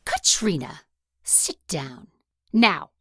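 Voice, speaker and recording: Stern nun schoolteacher: deep female voice, measured and cutting, close dry sound. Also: big pitch swings, bright, forceful tone, breathy edge, no echo, heavily acted. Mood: cold anger. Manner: slow, deliberate, severe. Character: stern, cutting nun teacher scolding her pupils. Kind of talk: scripted audio drama.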